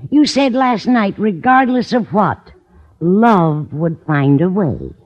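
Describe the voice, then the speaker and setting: Husky, fretful older lady. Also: mid-range voice, expressive, takes it slowly, darkish tone. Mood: pleading. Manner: melodramatic, pleading. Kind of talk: 1950s radio sitcom acting. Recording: dull old radio recording, audience behind.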